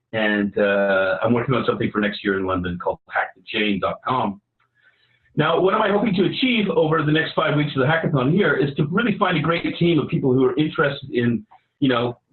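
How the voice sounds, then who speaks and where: A man's voice: medium pitch, narrow, tinny audio. Canadian man in an echoey room. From Vancouver, Canada. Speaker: editor and hackathon participant pitching a project. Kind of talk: informal project pitch to an online audience. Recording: roomy, echoey sound.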